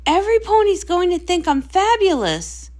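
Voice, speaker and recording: A woman's voice: very high-pitched, very animated, moderate pace, a little mellow, voice pushed up. A woman voicing a perky, vain young pony princess who sings pop, with a gushing, theatrical manner in a storybook read-along. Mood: boastful.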